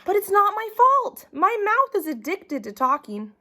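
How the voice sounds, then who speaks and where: A woman doing a shrill whiny schoolkid. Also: very animated, measured pace, pushed and bright, exaggerated voice. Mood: whining. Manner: high, protesting, whining. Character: whiny young schoolchild always dodging blame.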